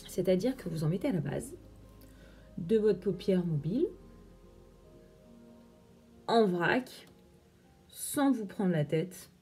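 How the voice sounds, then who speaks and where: Young woman walking thru her makeup, chatty and explaining, soft mellow voice, bit of music behind. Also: on the low side, expressive, slow pace, long pauses. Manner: chatty, instructive, explains steps as she works. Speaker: beauty vlogger. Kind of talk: makeup tutorial.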